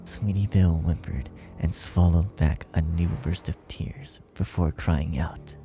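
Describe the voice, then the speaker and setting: Low, gravelly male horror story narrator. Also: fairly even intonation, a little slow, dark tone. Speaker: narrator, fan fiction reader. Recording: music behind the narration. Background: music.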